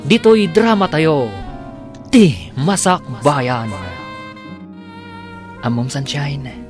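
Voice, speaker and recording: A man with a Filipino accent announcing a radio drama in a scripted, theatrical style with drawn-out phrase endings. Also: a little high, lively intonation, music in the background.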